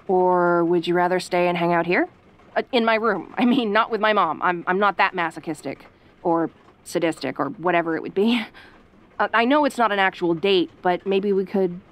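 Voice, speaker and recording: Woman acting a sassy, flustered tsundere tomboy, medium pitch. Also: natural voice. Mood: shy, hesitant. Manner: sarcastic, halting, bashfully defensive. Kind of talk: audio roleplay acting.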